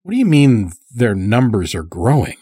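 A man voicing a guarded, terse lodge master in an audiobook story, questioning in a measured, wary way. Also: mid-range voice, very animated, warm tone, slightly rough, dry recording. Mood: firm, wary.

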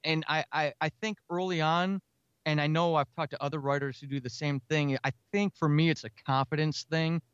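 A man with a smooth, clear and slightly high voice speaks as an earnest interview guest. He explains himself at length in halting, reflective phrases. The sound is close and dry, with a slightly dull top end. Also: steady tone, no echo. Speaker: guest writer and podcaster. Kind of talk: reflective interview talk about craft.

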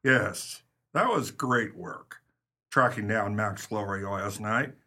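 A man playing a gruff, brisk 1930s police captain in a pulp crime radio play, with a husky voice. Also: on the low side, sharp tone, full character voice. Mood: brisk, probing.

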